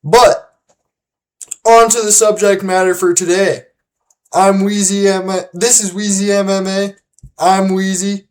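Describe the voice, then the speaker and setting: A host giving relaxed solo commentary and predictions in an unhurried, drawn-out delivery at a middle pitch. The speech is loose, with many restarts and repeated words, and it grows confident and emphatic whenever the host makes a prediction. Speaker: host of a fight-prediction channel.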